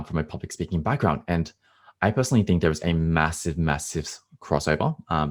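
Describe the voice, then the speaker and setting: Male podcast host with a very low voice; eager, emphatic interviewer. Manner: enthusiastic, repeats words for emphasis.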